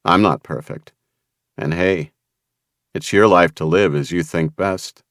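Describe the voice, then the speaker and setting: A calm, capable man offering a deal in a low, even voice, as a narrator reads him. He speaks plainly and without fuss. The sound is dry and close. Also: a little slow, dry recording. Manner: even, understated.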